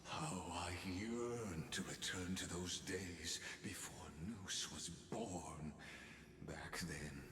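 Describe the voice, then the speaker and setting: An actor playing a grave ancient scholarly villain in a video game, solemn, theatrical and coldly bitter. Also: male voice, deep voice, drawn-out words, a crowd in the background. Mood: wistful. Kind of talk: scripted video game voice acting. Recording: echoey long reverb under game music.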